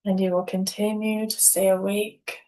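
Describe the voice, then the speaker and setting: A woman leading a guided yoga nidra relaxation as instructor, speaking calmly in short, deliberate phrases with pauses. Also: mid-range voice, low-quality recording.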